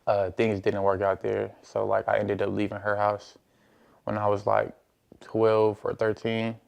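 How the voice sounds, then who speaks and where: A twenty-year-old man speaks in a low, flat voice with plenty of fry and a smooth, clear tone. His delivery is casual, like someone telling his own story in an interview. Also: measured pace. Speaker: youth who aged out of foster care.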